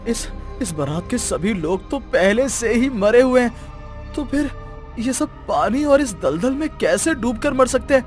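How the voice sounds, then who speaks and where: A man playing an earnest, easily spooked young village son in an animated story. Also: very high-pitched, a little slow, faint music behind. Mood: frightened, confused.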